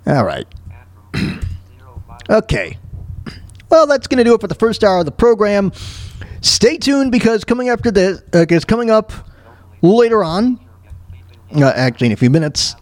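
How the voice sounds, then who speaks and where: A man's voice: high-pitched, big pitch swings, normal speed, pauses often, no echo. A man hosting a talk radio show, commenting casually in a rambling, exclamatory way and repeating his phrases.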